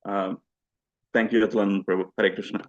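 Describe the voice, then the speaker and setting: A man gives an unscripted memorial tribute, haltingly searching for words and repeating himself, on a lo-fi recording. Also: lowish voice, level tone. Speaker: devotee giving a memorial tribute. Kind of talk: unscripted spoken eulogy. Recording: dull lo-fi speech recording.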